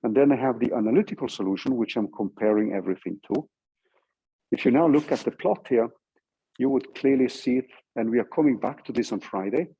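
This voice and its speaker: Norwegian guy teaching a uni class, kinda husky soft-edged voice, informal, explains stuff step by step. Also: medium pitch, fairly quick, muffled recording. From Norway. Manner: explanatory, checks in with "right?". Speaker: university physics lecturer. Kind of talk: informal lecture walking through code.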